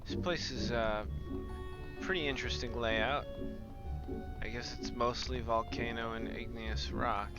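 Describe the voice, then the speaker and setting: A male game streamer with a mid-range voice, talking slowly and casually as he comments on play. Music runs clearly behind him. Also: medium pitch, fairly even intonation, highs cut off. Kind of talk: casual live gameplay commentary.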